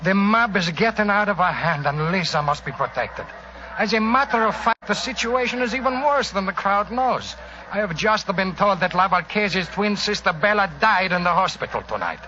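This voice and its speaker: An actor playing a dramatic, anxious Italian police inspector with an emphatic manner, in 1940s radio style. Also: male voice, high-pitched, expressive, moderate pace, sharp tone. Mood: grave urgency. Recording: dull, hissy 1940s radio recording.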